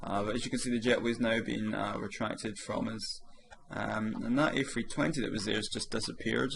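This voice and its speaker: Hoarse gravelly man narrating a flight sim. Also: lowish voice, fairly even intonation, normal speed, fairly bright tone, a little hiss or hum. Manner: chatty, explains each step as he goes. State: slightly hoarse from a sore throat. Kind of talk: casual gameplay commentary.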